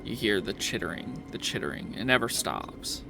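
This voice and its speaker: A man acting as game master, narrating casually and haltingly, often repeating phrases as he goes. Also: lowish voice, lively intonation, gravelly. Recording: faint background noise.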